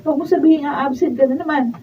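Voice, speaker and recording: A woman's voice: high voice, fast talker, natural voice. A Filipino woman playing an exasperated, nagging young wife and mother in a radio drama, recorded in a noisy room. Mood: exasperated scolding. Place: radio drama studio, filmed raw. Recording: muffled, noisy room, distant mic.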